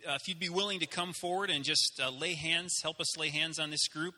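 A man's voice: a little high, fairly even intonation, fairly quick, pushed and bright. A man leading a church service as pastor, speaking informally with fillers and restarts. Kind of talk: church service announcements and prayer. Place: church sanctuary. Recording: long hall reverb.

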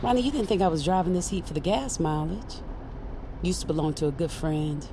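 A woman acting a brisk, cocky guide in a fantasy audio drama. Her voice is on the low side, matter-of-fact and teasing, with clear car and street noise around her. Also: natural voice. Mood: breezy, teasing. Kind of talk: scripted audio drama dialogue.

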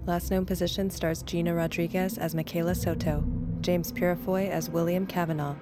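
A woman reading scripted credits as an announcer, measured and matter-of-fact. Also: lowish voice, flat delivery. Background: music.

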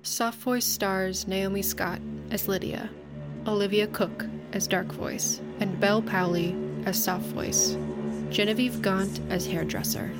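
A woman announcer reads scripted credits in a mid-range voice. Very loud music runs behind her. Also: mid-range voice, sing-song, a little slow.

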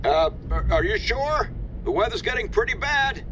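An actor playing a concerned, easygoing employee in an audio drama. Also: male voice, very high voice, very animated, normal speed, noisy background.

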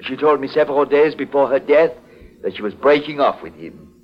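Man acting anxious, courteous foreign gentleman, old radio. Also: mid-range voice, lively intonation, fairly quick, natural voice. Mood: guarded, grateful. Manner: formal, hesitant, courteous. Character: polite, anxious foreign exporter of African curios. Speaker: radio actor. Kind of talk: 1940s radio detective drama acting. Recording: dull old 1940s radio broadcast.

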